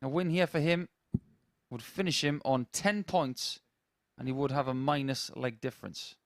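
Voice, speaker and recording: Deep-voiced darts commentator. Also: male voice, moderate pace, no room sound, clean studio sound. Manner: conversational analysis, with asides to a co-commentator. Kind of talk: live sports commentary.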